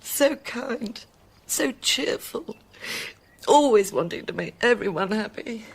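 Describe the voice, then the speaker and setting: An actress with a British accent playing a timid, tender middle-aged French widow in a radio drama, soft, breathy and hesitant. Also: female voice, on the high side, expressive, slow pace, exaggerated voice. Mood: grieving, tearful. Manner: hesitant, wistful.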